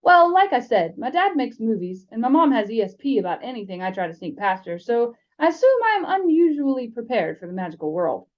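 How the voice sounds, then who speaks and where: A woman's voice: a little high, very animated, fairly quick, few pauses, muffled recording. A woman voicing a chatty young wizard schoolboy in a storybook reading, offhand and rambling. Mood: breezy.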